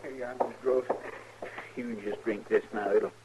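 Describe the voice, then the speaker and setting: A man playing a kindly, simple marshal's sidekick with a rural American accent and a creaky, folksy drawl, 1950s radio western. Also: mid-range voice, steady tone, normal speed, natural voice. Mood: soothing. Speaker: radio drama actor. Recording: muffled, hissy old radio recording.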